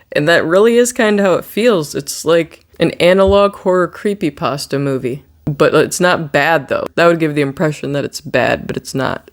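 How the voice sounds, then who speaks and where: A horror movie reviewer giving a casual, rambling recap with plenty of swearing. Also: medium pitch.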